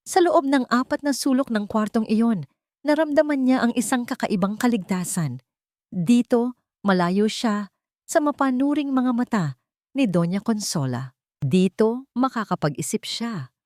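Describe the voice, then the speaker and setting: A woman reading a scripted dramatic story as narrator, with deliberate, theatrical phrasing. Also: fairly high pitch, lively intonation, darkish tone, dry recording, clean studio sound.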